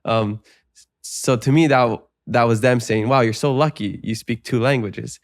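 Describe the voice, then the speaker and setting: A man's voice: mid-range voice, steady tone, measured pace, no echo, studio quality. A young adult man from Hawaii, a native Hawaiian speaker, hosting a podcast in casual conversation, joking and telling stories about himself. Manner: joking, laughs often, reflective storytelling. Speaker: podcast host.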